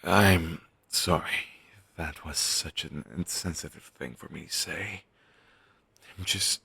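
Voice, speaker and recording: A man playing a pessimistic zombie best friend with dark sarcasm, speaking haltingly in an intimate audio roleplay. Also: sits low, creaky, slightly rough, no echo, exaggerated voice. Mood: apologetic, upset. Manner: halting, sighing, sardonic delivery with trailing hesitations.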